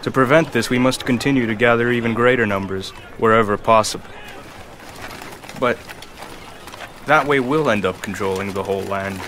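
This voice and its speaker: Cool young samurai lord, male actor, lowish voice, measured and skeptical, video game drama, faint ambient noise. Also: a bit bright, lightly acted. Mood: calculating.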